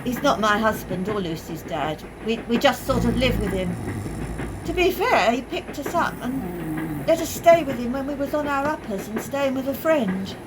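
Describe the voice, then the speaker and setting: A put-upon British mother, played by a woman in a radio play, with a high, very lively voice. She scolds and grumbles bluntly in a resigned way over clear train noise. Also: normal speed, close to an everyday voice. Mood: weary grumbling. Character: put-upon, plain-spoken working-class mother of a young child.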